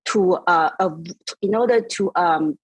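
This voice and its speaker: A middle-aged woman, born in Taiwan and raised in Austria, explaining her work as a company CEO in a measured way with many fillers. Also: on the low side, slightly rough, dry recording. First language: Mandarin. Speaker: DeFi company CEO, guest. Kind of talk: interview answers.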